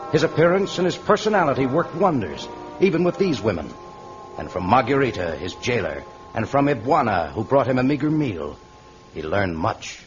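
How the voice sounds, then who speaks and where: A man narrating an old radio adventure serial in a dramatic, scripted announcer style. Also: medium pitch. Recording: dull vintage radio recording. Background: music.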